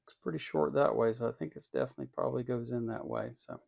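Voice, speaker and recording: A man narrating a hands-on electronics build casually, thinking aloud in an unhurried way and muttering to himself as he works. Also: medium pitch, fairly even intonation, creaky, a little mellow. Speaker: electronics hobbyist host.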